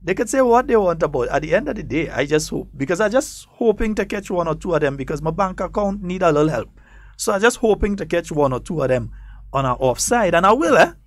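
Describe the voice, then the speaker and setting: A man's voice: high voice, animated, fast, no room sound. A man with a Trinidadian Grenadian accent, hosting a political talk show in a studio, emphatic and defiant, repeating points for effect. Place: radio studio.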